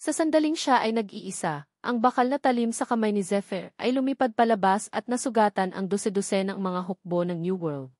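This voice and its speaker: A woman reading scripted audiobook narration of an action novel in measured, formal sentences. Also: mid-range voice, a little mellow, no echo, studio quality.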